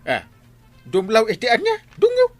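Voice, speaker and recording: A man with an Ilocano accent acting an excitable, suspicious middle-aged village captain in a comedy radio drama, exclaiming and sputtering. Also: extremely high pitch, normal speed, soft background music, exaggerated voice. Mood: indignant. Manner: exclaiming, sputtering, swooping between grumbles and yelps.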